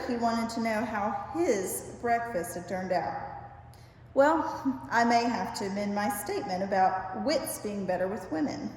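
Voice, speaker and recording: An older woman reads a humorous essay aloud with expressive, wry storytelling delivery. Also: on the high side. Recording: very echoey reverberant room, faint hiss.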